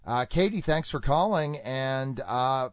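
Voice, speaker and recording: A man hosting a radio show and interviewing guests by phone, with brisk, upbeat introductions. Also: medium pitch, animated, dry recording, studio quality.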